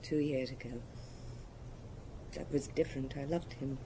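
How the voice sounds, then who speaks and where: Radio drama casting: weary, bitter rich murderess, forties, mellow and dark, resigned delivery, faint ambience behind. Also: female voice, extremely low pitch, steady tone, unhurried, a little hiss or hum, poor audio. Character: weary, bitter wealthy murderess, hard-drinking, around forty.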